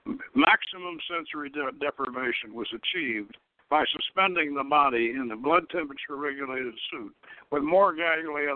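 A man's voice: on the high side, no echo. Man reading fiction over a phone-like line. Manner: halting, stumbles over the text. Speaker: host reading own novel aloud. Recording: thin, dry, phone-like radio line.

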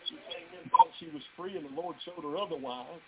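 Fervent Southern preacher on a tinny line. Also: male voice, fairly high pitch, fast talker, a little hiss or hum. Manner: fervent, folksy preaching with calls to the crowd. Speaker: pastor. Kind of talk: revival sermon to a live congregation. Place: revival tent near a residential street. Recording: tinny phone-line live stream.

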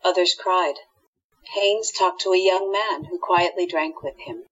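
Female radio drama narrator, somewhat low and husky, reading scripted scenes. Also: measured pace, a bit bright. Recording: dull lo-fi audio.

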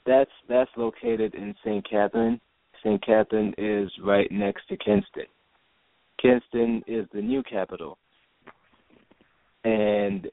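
A man from Jamaica, a guest in an interview, talking haltingly with many restarts. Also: medium pitch, vocal fry, no room sound. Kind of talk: casual interview talk. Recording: phone line, dry.

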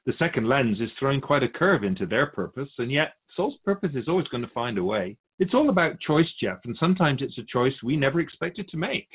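A man speaking as a spiritual guide, answering in a measured, assured, didactic way in philosophical conversation. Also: mid-range voice, sing-song, slightly rough, narrow, tinny audio.

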